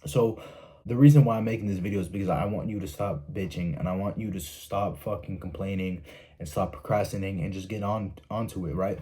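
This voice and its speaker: A man's voice: low-pitched, brisk, mellow, husky. A young man giving blunt, profane self-help advice to his viewers in a casual monologue, stopping often to ask "right?".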